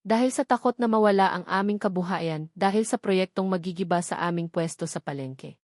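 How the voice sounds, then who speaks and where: A woman's voice: on the low side, fairly quick, no echo, studio quality, natural voice. A Filipino woman voicing an earnest young mother who is confessing, in a dramatic story reading. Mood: earnest, pleading.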